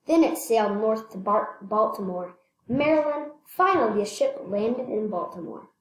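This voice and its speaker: A woman reading a children's picture book aloud, slightly halting, repeating phrases and adding casual asides. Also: a little high, animated, a little hiss or hum. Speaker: read-aloud storyteller. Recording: echoey room.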